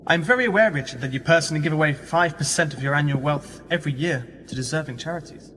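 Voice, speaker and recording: Actor as a higher-voiced, cautious journalist. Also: male voice, a bit bright. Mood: earnest, polite. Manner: reserved, tactful, brief measured replies. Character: polite, cautious, probing male magazine journalist. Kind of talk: radio play dialogue. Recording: reverberant room, faint background noise.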